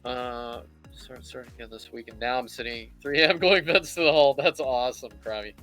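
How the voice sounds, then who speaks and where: A man with a bright, forward voice talks casually through a hands-on tutorial. He rambles and thinks aloud as he works, at a middling pitch, with music playing behind him. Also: fairly quick. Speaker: livestream host teaching miniature painting. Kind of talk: casual live tutorial chat.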